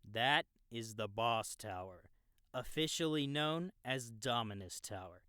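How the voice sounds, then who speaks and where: A man's voice: medium pitch, animated, a little slow, bright, forceful tone, clear, resonant tone, dry recording, clean studio sound. A man playing an easygoing young experienced game player who explains the world to a newbie in a casual, knowing way, in an audiobook. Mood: knowing, explanatory. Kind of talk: audiobook character acting, explanatory dialogue.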